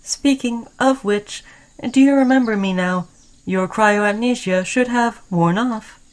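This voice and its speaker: A woman playing an obsessed, caring yet menacing sentient robot in an intimate roleplay audio drama, speaking in an earnest, halting way. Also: a little high, clean tone. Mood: affectionate, coaxing.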